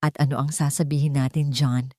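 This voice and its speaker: Narrator as a shrewd older matriarch, low-pitched. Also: female voice, little pitch movement, brisk, dark tone, dry recording, studio quality, close to an everyday voice. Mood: sceptical, cautioning.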